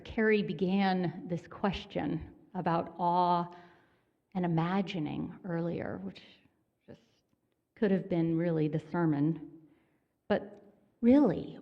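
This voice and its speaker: A woman minister giving a sermon in a church, conversational and gently self-mocking in her humor. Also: fairly low pitch, vocal fry, highs cut off. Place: church sanctuary.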